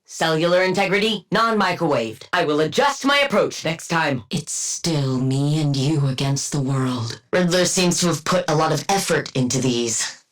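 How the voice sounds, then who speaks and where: A woman's voice: sits low, moderate pace, lightly acted. An actress voicing a brainy, exacting woman scientist supervillain for a video game, precise and nerdy, with a dry sardonic edge. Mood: smug, dry. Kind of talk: video game combat barks and banter.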